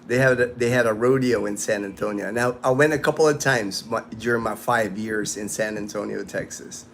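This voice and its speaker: A man's voice: on the low side, fairly even intonation, a little fast, a little hiss or hum. Easygoing man in his fifties, livestream host. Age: 50s. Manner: rambling, easygoing, many restarts. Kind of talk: casual livestream chat reading viewer comments. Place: outdoors in the sun.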